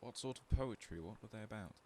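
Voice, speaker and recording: A man playing an earnest, well-bred and sympathetic psychiatric doctor in a radio drama, speaking a little haltingly. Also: fairly low pitch, a little hiss or hum, lightly acted. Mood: measured, professional.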